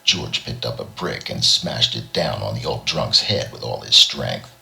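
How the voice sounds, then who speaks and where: A man's voice: a bass voice, expressive, unhurried, vocal fry, sharp tone. A man reading a short story aloud as an audiobook narrator, in a dramatic storytelling style. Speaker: audiobook reader.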